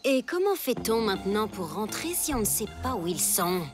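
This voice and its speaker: Woman as threatening bossy animal, animated intonation, kids' cartoon, music behind. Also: mid-range voice, moderate pace, close to an everyday voice. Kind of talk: children's cartoon dub.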